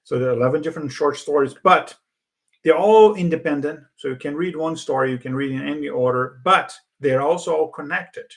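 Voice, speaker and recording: A man whose first language is Portuguese, co-hosting a podcast in a casual, halting way with many fillers and restarts. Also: fairly high pitch. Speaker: podcast co-host. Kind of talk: casual conversation.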